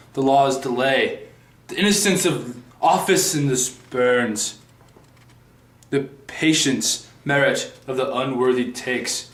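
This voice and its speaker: A man playing a brooding tragic prince, reciting a Shakespearean soliloquy in a halting, stumbling way. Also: mid-range voice, natural voice. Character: brooding young tragic prince, contemplative and despairing. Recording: echoey room.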